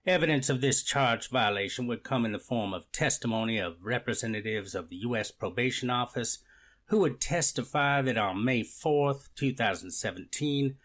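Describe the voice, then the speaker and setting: A man's voice: medium pitch, normal speed, fairly bright tone, natural voice. A man playing a formal prosecutor, reciting evidence dryly in a courtroom reenactment. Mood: formal, factual. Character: formal, methodical male government prosecutor. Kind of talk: readers theater courtroom reenactment.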